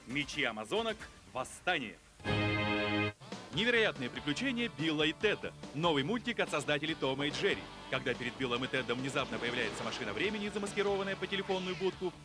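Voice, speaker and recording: A man reading scripted promotional narration for cartoon trailers in an enthusiastic, dramatic announcer style. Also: medium pitch. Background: music.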